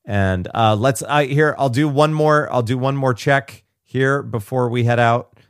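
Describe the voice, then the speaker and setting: A man's voice: medium pitch, unhurried, clear, resonant tone, no echo, studio quality. A man co-hosting a podcast in casual, sweary banter, slightly tipsy with faintly slurred speech. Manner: profane. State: tipsy on whiskey. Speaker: podcast co-host.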